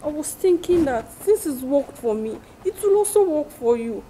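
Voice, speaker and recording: A woman's voice: high voice, expressive, normal speed, warm tone, a little hiss or hum, poor audio. A married woman acting in a skit as a friend who gives persuasive advice. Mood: apologetic. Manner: confiding, persuasive, then apologetic.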